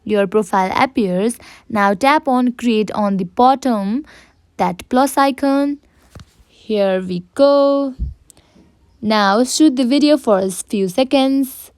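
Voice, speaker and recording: Higher-voiced woman giving a tutorial, dry sound. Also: slow, smooth, no room sound. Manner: simple, instructional, addressing viewers directly. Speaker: tutorial presenter. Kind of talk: step-by-step app tutorial narration.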